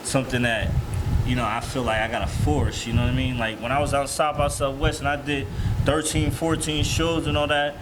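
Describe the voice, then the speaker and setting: A man with a mid-pitched voice speaks as a self-assured guest in a casual interview. His talk is slangy and peppered with "you know what I mean". There is clear noise from the room behind him. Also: little pitch movement, a little fast. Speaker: interview guest, hip-hop performer. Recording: noisy room with background hum.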